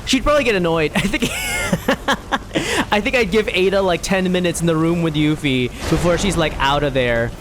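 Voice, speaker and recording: High-voiced man chatting through a game. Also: very animated, normal speed, music in the background, poor audio. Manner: playful, rambling, reacts to the game. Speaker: streamer playing a video game. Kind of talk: casual playthrough commentary.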